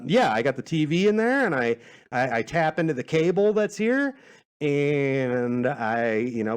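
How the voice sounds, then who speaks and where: A man, a podcast host, chatting in a casual, self-deprecating way as he rambles through answers to questions. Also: on the high side. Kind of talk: casual rambling Q&A monologue, reading out listener questions.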